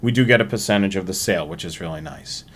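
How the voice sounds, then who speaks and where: Halting man hosting a casual livestream. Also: low voice. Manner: halting, informal, repeats himself. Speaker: livestream host. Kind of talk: casual livestream sign-off and announcements.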